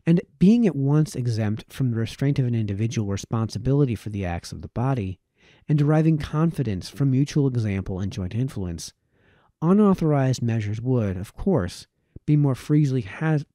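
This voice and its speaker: A man reading a formal historical text aloud as a narrator, in measured, formal phrasing. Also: mid-range voice, mellow, dry recording, clean studio sound. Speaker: reader, narrator.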